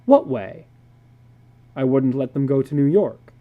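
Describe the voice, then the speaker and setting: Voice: medium pitch, lively intonation, measured pace, phone-quality sound, natural voice. A reader voicing a worried, defensive father in a dramatic story reading, with an earnest, questioning manner.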